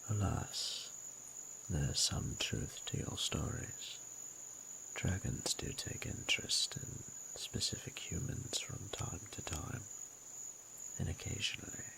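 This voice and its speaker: A man voicing a flirtatious, possessive yet respectful dragon with a very deep, gravelly voice and formal, archaic, teasing diction in a romantic audio roleplay. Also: animated, takes it slowly, bright, forceful tone, a little hiss or hum, heavily acted, low-quality recording. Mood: courtly, teasing. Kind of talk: scripted romantic audio roleplay, intimate monologue.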